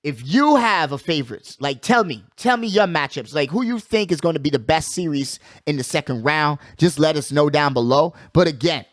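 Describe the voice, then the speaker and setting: A man with a fairly high voice hosts a casual sports show, recorded close and dry. He gives opinionated commentary in slangy, conversational language, often repeating a phrase for emphasis. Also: lively intonation, normal speed, hardly any pauses, dry recording. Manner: slangy, conversational address to listeners.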